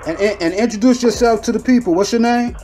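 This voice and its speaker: Relaxed man repeating "okay, okay". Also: very high voice, fast, over music. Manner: loose, repeats "okay, okay" and "I got you". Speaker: interview host. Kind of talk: casual interview.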